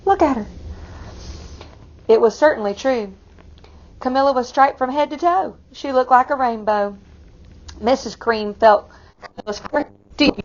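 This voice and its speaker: A woman reading a picture book aloud to children, expressively, pausing to explain it in simple asides. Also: fairly high pitch, highs cut off. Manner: expressive, explains the story to young listeners. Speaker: read-aloud storyteller for children.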